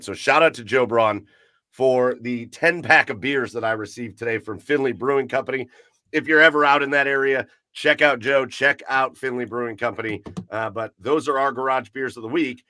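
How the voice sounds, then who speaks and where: A man's voice: medium pitch, normal speed, pushed and bright, dry recording. A man hosting a casual live podcast, chatting and reading comments in an upbeat, announcer-like way. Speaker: podcast host. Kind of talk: casual livestream chat.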